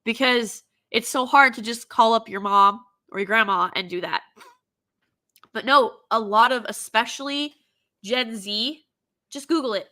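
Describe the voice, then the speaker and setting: Bright, stuffy-voiced woman host. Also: fairly high pitch, measured pace. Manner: animated, mocking impressions in asides. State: stuffy with a cold. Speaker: show host. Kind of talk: opinionated commentary.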